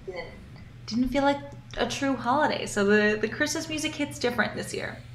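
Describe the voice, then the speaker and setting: Upbeat New York songwriter, echoey room. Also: female voice, a little high, normal speed. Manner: upbeat, enthusiastic, repeats words as she starts. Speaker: singer-songwriter guest. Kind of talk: casual interview answers. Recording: echoey room, faint background noise.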